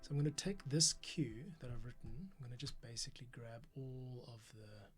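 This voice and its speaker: A man hosts a casual working livestream over clearly audible music. He thinks out loud and explains what he is doing step by step, in an average-pitched voice. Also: normal speed. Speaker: composer and livestream host. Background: music playback.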